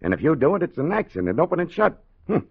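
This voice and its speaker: An actor playing a tough, menacing crime boss in a 1940s radio crime drama, with streetwise, slangy, needling talk. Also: male voice, a little high, big pitch swings, quick pace, vocal fry, husky, heavily acted. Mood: hard, businesslike. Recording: muffled, thin old radio broadcast.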